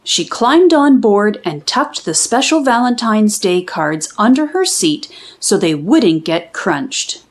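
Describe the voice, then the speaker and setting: A woman reading a children's storybook aloud as an expressive narrator. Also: mid-range voice, slow pace, hardly any pauses.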